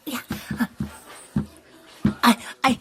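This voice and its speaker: A woman voicing a sly old man who sells off a friend's things and chuckles at his scheme. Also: extremely slow. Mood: scheming. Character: sly old man selling stolen goods. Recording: noisy amateur recording.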